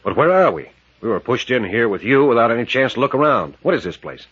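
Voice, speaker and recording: An actor playing a level-headed, resolute adventure captain, full of probing questions, in 1940s radio drama style. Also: male voice, medium pitch, very animated, fast talker, a bit bright, husky. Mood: questioning. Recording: muffled vintage radio recording.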